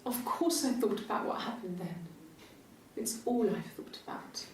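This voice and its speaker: An actress playing a defensive, sharp-tongued woman under police questioning, answering in guarded, clipped phrases in a naturalistic style. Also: medium pitch, lively intonation, moderate pace, lightly acted. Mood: subdued, hurt. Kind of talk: naturalistic recorded interrogation acting. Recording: echoey, distant, noisy interview-room tape.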